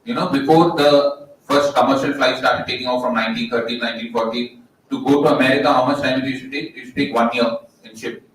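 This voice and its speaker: Young Indian man, loud and jokey storyteller, heard from a distance in a very echoey hall. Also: medium pitch, fairly even intonation, quick pace. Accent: Indian English. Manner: jokey, asks the crowd questions. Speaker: motivational speaker. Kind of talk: storytelling talk to a live audience.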